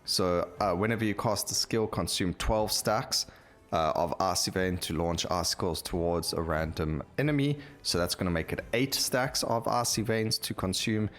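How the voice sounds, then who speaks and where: A man's voice: low-pitched, normal speed, a bit bright, faint music behind. A man hosting a gameplay video, talking casually and rambling as he thinks aloud while he plays. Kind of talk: casual game commentary.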